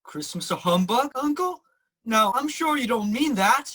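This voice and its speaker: A man playing a cheerful, warm-hearted young nephew in a radio play, earnest and hearty in manner. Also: extremely high pitch, animated, a little slow, full character voice. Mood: earnest, pleading. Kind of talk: theatrical radio play acting.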